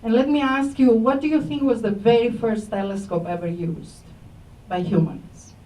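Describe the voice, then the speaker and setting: Middle-aged Greek-accented woman, friendly lecturer, quizzes the audience; highish voice, distant mic. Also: normal speed, warm tone. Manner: friendly, engages audience with questions, many fillers. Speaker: astronomy professor. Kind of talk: public science talk. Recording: echoey room, voice from far off mic.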